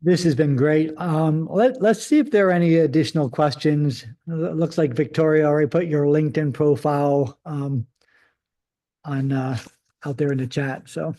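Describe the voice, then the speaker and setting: A man's voice: on the high side, normal speed, warm tone. A man hosting a live webinar interview, reacting to his guest with short affirmations and sometimes restarting his sentences. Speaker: host.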